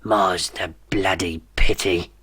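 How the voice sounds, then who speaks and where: A man voicing an ancient, feeble, dying evil dictator, slow and scornful, in a weak whispery voice broken by coughs. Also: deep voice, fairly even intonation, pushed and bright, slightly rough, no room sound, studio quality, exaggerated voice. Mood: weary scorn.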